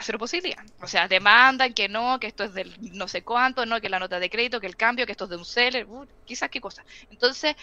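Fast, bright-voiced Chilean woman. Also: a little high. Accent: Chilean Spanish. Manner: animated, rapid-fire explaining with rhetorical questions. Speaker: guest e-commerce expert. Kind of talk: casual podcast interview. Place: home room with bookshelves, indoors. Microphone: headset on a video call.

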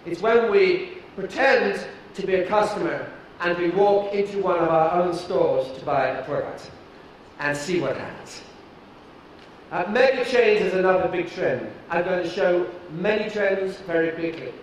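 A middle-aged British man giving a keynote talk on a large stage, deliberate and emphatic, with some fillers. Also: sits high, slight background noise. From United Kingdom. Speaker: keynote speaker. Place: large dark stage before an audience. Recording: echoey hall from a distance.

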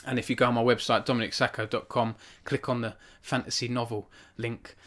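A man's voice: mid-range voice, flat delivery, brisk, a bit bright. A man in his thirties, an author hosting a live stream from a small home room into a studio microphone, reading from his novel and then chatting earnestly with viewers, with frequent restarts. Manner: earnest and self-conscious, with frequent restarts and fillers when chatting. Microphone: studio microphone on a stand in front of him.

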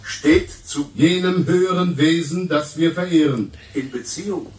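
Actor as high-pitched pompous professor, roomy distant sound. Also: male voice, a little mellow, natural voice. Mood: solemn, pompous. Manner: pompous, solemn, slow. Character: pompous, grandiloquent male essayist and professor. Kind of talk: declaimed lecture in a radio play. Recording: roomy, distant tape playback.

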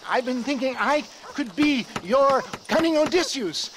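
High-voiced wheedling old swindler. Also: male voice, lively intonation, a little slow, noisy background. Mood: ingratiating, scheming. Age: older. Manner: hesitant, flowery, ingratiating. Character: wheedling, verbose old con-man salesman. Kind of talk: video game western acting.

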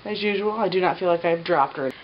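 A woman's voice: fairly low pitch, quick pace. A woman in her thirties, stuffy, scratchy-voiced and short of breath, chatting to camera in a rambling way with many fillers, filmed in a bedroom. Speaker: pregnancy vlogger. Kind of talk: casual chat to camera. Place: bedroom, indoors. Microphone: camera on a tripod at medium distance. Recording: slightly dull home video with faint hiss.

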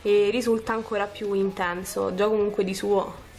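A woman's voice: mid-range voice, flat delivery, moderate pace, darkish tone. A woman, casually talking through a makeup tutorial step by step in an informal, chatty way. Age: 20s. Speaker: beauty vlogger. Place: small furnished room at home, indoors.

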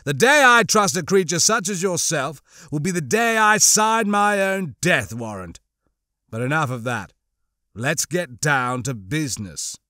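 A scheming male crime lord acted by a man speaks in a fairly high voice with icy disdain. He is mocking and measured, a menacing audiobook villain, recorded close and dry. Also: expressive, pushed and bright, no room sound. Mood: disgusted, impatient. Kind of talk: audiobook character acting.

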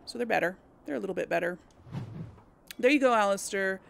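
A woman's voice: mid-range voice, a little fast, creaky, slight background noise. A woman giving casual let's play commentary, hesitant and musing as she thinks aloud to herself. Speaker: gaming host.

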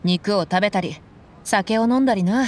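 女性が演じるぶっきらぼうな女傭兵、中音域. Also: female voice, mid-range voice, moderate pace, natural voice. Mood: frank, surprised. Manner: brusque, casual, frank. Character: tough, blunt young female desert mercenary. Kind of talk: Japanese anime game acting.

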